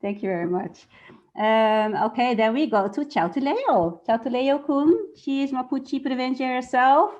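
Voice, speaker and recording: A woman's voice: high-pitched, measured pace, darkish tone, muffled recording. A woman hosting a live event, introducing speakers in a casual, spoken way. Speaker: host introducing team members.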